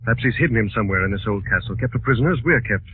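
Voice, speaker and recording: An actor playing a calm, resolute gentleman sleuth with a brisk, assured delivery in a 1940s radio drama. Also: on the low side, slight background noise, close to an everyday voice. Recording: muffled narrow-band 1940s radio recording with music.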